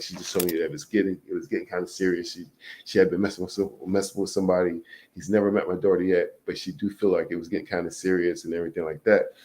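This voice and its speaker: A man's voice: sits low, warm tone. A man in his early forties talking frankly in a rambling casual monologue, full of fillers and swearing. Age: 40s. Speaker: vlogger.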